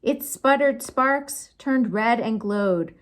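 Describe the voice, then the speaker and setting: A woman storytime host reading picture books aloud to children, expressive and sing-song, with chatty asides to her young listeners. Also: a little high, slow pace. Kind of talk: animated picture-book read-aloud for children.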